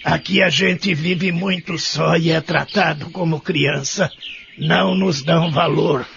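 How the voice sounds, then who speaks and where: An actor playing a proud, lonely widowed man in his eighties in an audio soap, with a gravelly voice and brooding manner. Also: fairly high pitch, steady tone, measured pace, fairly bright tone, heavily acted. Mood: brooding, resentful. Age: 80s. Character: lonely 83-year-old widowed care-home resident, proud and resentful. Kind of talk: audio soap narration and dialogue. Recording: dull lo-fi audio with clear noise.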